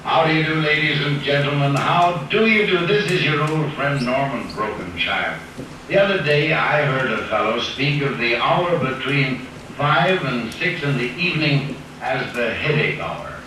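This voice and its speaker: A man's voice: medium pitch, expressive, moderate pace. A man announcing in the style of a 1940s radio advertisement, with a folksy, neighborly sales manner. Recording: echoey, distant, hissy old radio recording.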